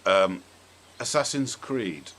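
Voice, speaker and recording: Male podcast host, very deep voice, conversational and tentative, some background noise. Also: expressive, takes it slowly, fairly bright tone. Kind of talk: casual podcast discussion.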